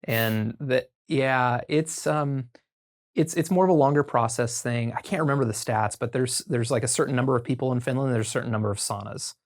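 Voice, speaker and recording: An American man chatting casually as a podcast guest, telling stories informally and haltingly as he thinks aloud. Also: medium pitch. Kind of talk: casual conversational storytelling.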